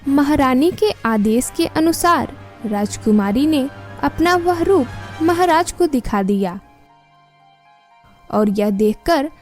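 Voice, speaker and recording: A woman's voice: high voice, animated, takes it slowly, pauses often, a little mellow. A woman reading scripted storybook narration as the narrator. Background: music.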